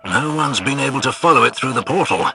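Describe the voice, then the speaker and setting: A man's voice: mid-range voice, lively intonation, brisk, vocal fry, pushed and bright. A man playing a dry, courteous, well-spoken military scout and marksman in a video game. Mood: wry, measured. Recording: music behind the voice.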